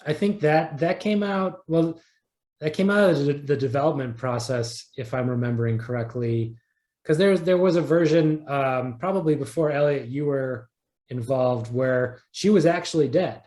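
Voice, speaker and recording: A man speaking as an interview guest, chatting casually and thinking aloud in halting, repeated phrases. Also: on the high side, darkish tone. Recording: echoey, roomy sound.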